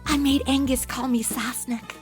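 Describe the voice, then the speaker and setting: A woman's voice: high-pitched, steady tone, moderate pace, music in the background, natural voice. A woman playing a brash, jealous, catty young American woman in a comedy audio drama, sassy and slangy. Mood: catty, indignant. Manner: sassy, sarcastic, modern slangy talk.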